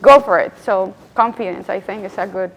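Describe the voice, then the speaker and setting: A woman's voice: mid-range voice, a little fast, lo-fi sound. A woman from Colombia with a Colombian accent, speaking as a panelist and giving earnest, encouraging career advice. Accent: Colombian Spanish. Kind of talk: conference panel talk. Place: conference panel on stage.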